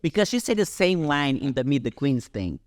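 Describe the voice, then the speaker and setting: A man's voice: a little high, very animated, normal speed, noisy background. A man with a Swiss accent, co-hosting a casual chat that reviews a show, telling stories and talking straight to viewers. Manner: chatty, storytelling, addresses viewers as you guys. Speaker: drag queen podcast co-host.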